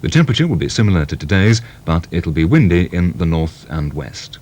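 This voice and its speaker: A man's voice: low voice, very animated, fairly quick, a little mellow. A man with a British accent reading scripted announcements as a television continuity announcer.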